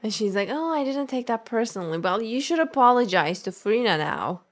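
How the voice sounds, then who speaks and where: A woman, a non-native English speaker, giving casual, expressive live game commentary and chatting playfully with viewers. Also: fairly high pitch, a little fast. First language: not English. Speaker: game streamer.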